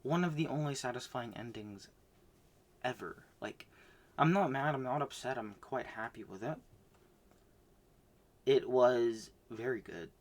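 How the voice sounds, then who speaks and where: Man, manga recap, slow drawling pace, rambling, lots of fillers, loud then mumbly. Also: medium pitch. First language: not Japanese. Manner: rambling, frequent fillers and restarts. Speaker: manga reviewer. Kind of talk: casual monthly reading recap.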